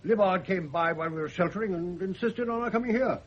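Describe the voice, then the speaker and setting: An actor playing a cantankerous, blustering old retired general in a radio drama. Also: male voice, sits high, fast talker. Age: older. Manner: blustering, gruff military bark. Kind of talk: 1970s radio drama acting. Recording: dull, lo-fi old radio recording.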